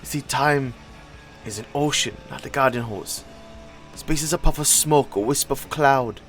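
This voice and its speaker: A man's voice: mid-range voice, a little slow, fairly bright tone, soft background music. Man putting on an eerie fake-Jamaican street psychic. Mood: dreamy. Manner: smooth, unsettling, prophetic taunting. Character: mysterious male street psychic faking a Jamaican accent. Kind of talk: audiobook character voice.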